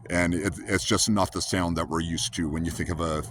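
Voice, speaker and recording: A man in his fifties with a low, rumbly voice, hosting a rambling, earnest casual monologue full of restarts. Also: steady tone, brisk, a bit bright, a little hiss or hum. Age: 50s. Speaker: host.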